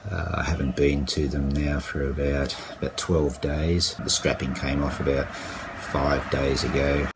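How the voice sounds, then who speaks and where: Man, very low voice, halting with fillers, noisy recording. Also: level tone, gravelly. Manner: halting, with many fillers and restarts. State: croaky with a cold. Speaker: vlogger. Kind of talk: casual video diary.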